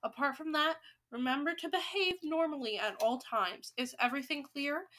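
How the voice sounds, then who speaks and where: Storybook villain: woman performs a tyrannical witch leader, high sharp voice, scornful, commanding. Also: normal speed, slight background noise. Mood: bossy. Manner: commanding, shouting and screeching.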